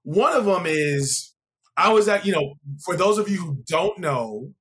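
An American man with a somewhat higher-pitched voice hosts a conversational interview. He sounds informal and encouraging, speaking in a casual, unscripted way. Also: animated, measured pace. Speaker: interview show host.

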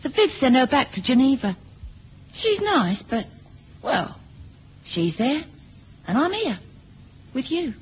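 Woman adventurer, feisty, teasing, very expressive, vintage radio drama, faint hiss. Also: high voice, takes it slowly, pauses often, full character voice. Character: feisty, teasing female companion of a spy. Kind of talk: 1970s radio drama acting. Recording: dull, noisy old radio recording.

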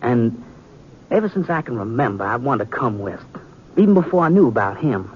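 A man's voice: sing-song, brisk, slight background noise, close to an everyday voice. A man acting a plain-spoken, determined young American sheriff in a 1950s radio western. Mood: earnest, wistful. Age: young adult. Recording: muffled, rumbly 1950s radio recording.

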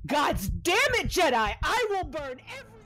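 A woman's voice: high-pitched, big pitch swings, normal speed, a bit bright. A woman playing an intense, brooding young Jedi who narrates her own story as a dramatic audiobook. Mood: enraged.

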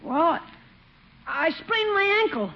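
Young boy, high swooping voice, sullen and hesitant, radio comedy, muffled vintage recording. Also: sing-song, takes it slowly, exaggerated voice. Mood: sheepish excuses. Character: sulky, reluctant young schoolboy nephew. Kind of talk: 1950s radio comedy acting.

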